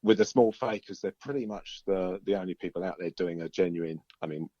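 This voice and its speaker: Low-voiced British man on a dull link. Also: brisk, mellow, dry recording. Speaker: band musician being interviewed. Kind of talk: casual chat.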